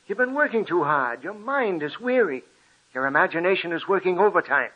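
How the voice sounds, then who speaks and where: An actor playing a calm, authoritative doctor in 1940s radio acting style, steady and reasoning. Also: male voice, sits high, fairly quick, breathy edge. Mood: firm. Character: calm, commanding male doctor friend. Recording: muffled old radio recording.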